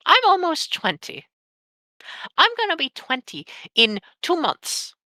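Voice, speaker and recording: A nineteen-year-old woman telling a painful personal story on a podcast, candid and sweary with heavy pauses. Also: sits high, huge pitch swings, sharp tone, no echo. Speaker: podcast guest.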